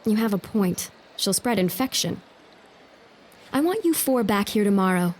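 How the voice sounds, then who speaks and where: A woman's voice: medium pitch, lively intonation, normal speed, darkish tone, close to an everyday voice. A woman playing a resolute young noblewoman in an audio drama, firm and composed. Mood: stern authority. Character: resolute young noblewoman running an army hospital.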